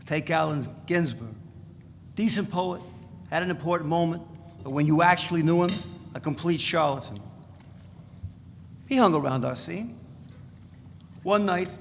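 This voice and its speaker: A man voicing a sardonic, boastful former radical who spins wild tales with a gravelly edge. He sounds slightly high-pitched for a man. The reading rings out in a large reverberant hall over a faint room hum. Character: brash older male ex-radical storyteller. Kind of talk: dramatic reading. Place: large echoey event space.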